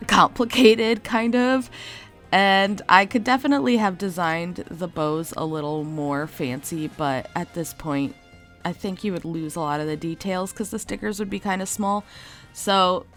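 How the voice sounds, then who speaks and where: A woman's voice: on the low side, steady tone, measured pace, faint music behind. A woman with a stuffy, congested voice from a cold, chatting casually and rambling as she talks about her art. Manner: chatty and rambling, many likes and self-corrections. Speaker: artist and channel host. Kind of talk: casual voice-over for a speed draw.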